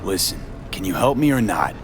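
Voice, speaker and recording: A man's voice: deep voice, very animated, a little fast. An actor playing a tough, cynical male street mercenary with an American accent and terse, wary delivery in a video game. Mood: skeptical. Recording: music behind the voice.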